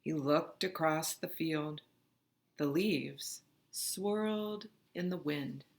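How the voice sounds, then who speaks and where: A woman reading a children's picture book aloud in an expressive, dramatic narrating style. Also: low voice, slow pace. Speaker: storybook reader.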